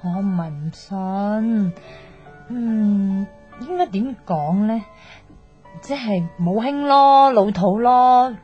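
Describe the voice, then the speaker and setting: A woman's voice: mid-range voice, expressive, slow pace. An actress playing an outspoken, teasing young woman who gives love advice, chatty and sighing, with a Hong Kong Cantonese accent in a radio drama. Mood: nosy, advising. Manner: chatty, blunt, sighing, mock-exasperated. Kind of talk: naturalistic radio drama acting. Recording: slightly dull, music underneath.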